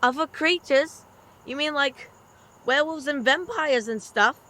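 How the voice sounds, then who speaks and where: A woman's voice: high-pitched, measured pace, bright, forceful tone. A woman playing a curious, earnest young boy in an audio drama, asking questions in a hesitant way.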